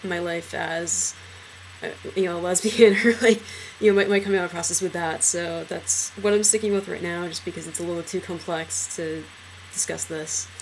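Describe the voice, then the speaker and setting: A young transgender man in a casual personal vlog, talking hesitantly with many fillers and restarts, his trained low voice deepened further by a cold. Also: fairly even intonation, normal speed, a little hiss or hum, rough recording. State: voice lowered by illness.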